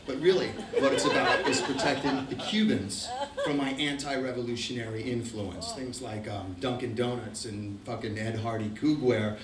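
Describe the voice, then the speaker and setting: A man's voice: medium pitch, moderate pace, hardly any pauses, over a noisy crowd. A middle-aged man with an Argentine accent, telling a profane, wry travel story to a live audience. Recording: roomy, distant mic.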